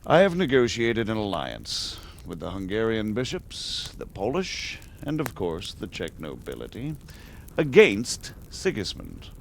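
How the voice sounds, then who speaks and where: A scheming medieval margrave in a game drama. His pitch swings widely as he argues with aristocratic, rhetorical emphasis, over a faint low rumble. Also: huge pitch swings, a little slow, vocal fry, full character voice. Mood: measured persuasion. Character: shrewd scheming medieval margrave, persuasive and impatient. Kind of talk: dramatic game cutscene acting.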